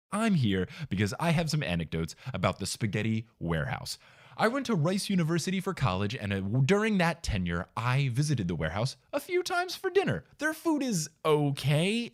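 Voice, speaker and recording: An American man delivers wry comic anecdotes with emphatic, mock-indignant flair. His medium-pitched voice swings up and down very expressively. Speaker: guest contributor.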